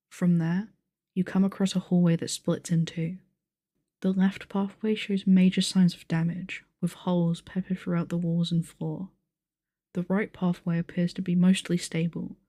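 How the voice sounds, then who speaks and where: A woman playing a calm game master who narrates a sci-fi tabletop adventure in measured, descriptive speech. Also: on the low side, dry recording, clean studio sound, natural voice. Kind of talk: scripted narration, ASMR audio roleplay.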